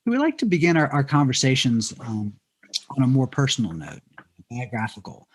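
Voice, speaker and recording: Guy moderating a panel, even formal voice, a few ums. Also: medium pitch. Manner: measured, formal, some fillers. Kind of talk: introducing guests, asking questions.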